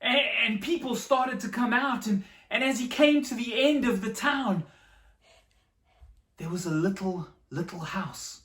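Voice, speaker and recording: A woman telling a story to children in a dramatic, expressive way, with simple explanations. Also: a little high, a little slow. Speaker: storyteller for a children's church show. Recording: echoey room, slightly distant mic.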